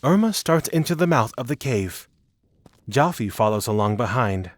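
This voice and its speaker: A man's voice: mid-range voice, sing-song, moderate pace, dry recording. A man reading scripted narration for a fantasy audio drama.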